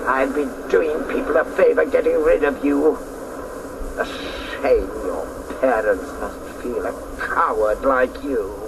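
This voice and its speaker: A cruel old grandmother witch who gives orders with scorn. Her delivery is slow and creaky, swinging widely in pitch. It is a radio play with faint noise. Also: heavily acted. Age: older. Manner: scornful, commanding. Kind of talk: radio drama acting. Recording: faintly noisy radio drama recording.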